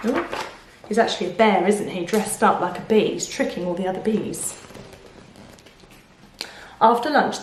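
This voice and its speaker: A woman reading a picture book aloud to children, expressive, with explanations and questions to the listener. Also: mid-range voice. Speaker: children's storyteller. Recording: echoey room.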